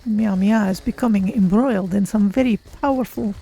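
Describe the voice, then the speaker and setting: A mild, thoughtful cat-person mage, put on by a woman for a game roleplay. The voice is soft-edged and dark with plenty of creak. The phrasing is measured and formal. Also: medium pitch, rough recording. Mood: musing.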